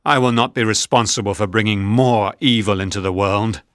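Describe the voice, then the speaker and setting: A man's voice: fairly low pitch, steady tone, normal speed, dry recording, studio quality, close to an everyday voice. A man playing an anguished young scientist. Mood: defiant. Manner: anxious, crying out. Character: anguished young male scientist, guilt-ridden.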